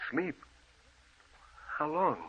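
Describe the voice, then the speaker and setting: A man's voice: a little high, drawn-out words, breathy edge, exaggerated voice. An actor playing a distraught bedridden man obsessed with his dead beloved, insistent and melodramatic, in old-time radio horror style. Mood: agitated, suspicious. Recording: tinny, band-limited old radio recording.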